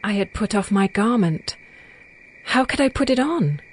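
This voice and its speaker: A woman's voice: mid-range voice, lively intonation, moderate pace, breathy edge. A woman playing a lovesick young bride who yearns for her beloved, in a tender, poetic scripture drama. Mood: dreamy longing. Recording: music bed behind the voice.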